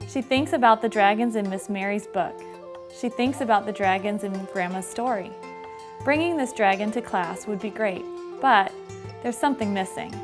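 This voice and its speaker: A woman's voice: mid-range voice, moderate pace. Woman reading a storybook over music. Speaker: storybook reader. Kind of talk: scripted children's story narration.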